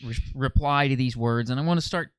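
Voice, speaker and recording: A man's voice: medium pitch, a little fast, clear, resonant tone. A man hosting a podcast, easygoing and laughing along, often restarting his sentences. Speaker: podcast host. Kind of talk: casual interview chat.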